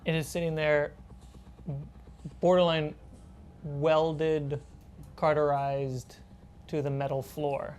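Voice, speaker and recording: A woman's voice: low-pitched, little pitch movement, takes it slowly, pauses often, a little mellow. A woman running a tabletop role-playing game as game master, narrating scenes and answering players. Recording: background music.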